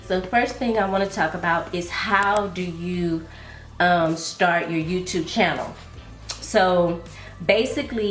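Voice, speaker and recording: A woman with a slightly congested, nasal voice talks her viewers through her makeup in a chatty, confiding way, calling them baby and girl. Her pitch sits fairly low. The room is echoey, with faint music playing underneath. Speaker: beauty and fragrance vlogger. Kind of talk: casual get-ready-with-me chat. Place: room at home, doing makeup.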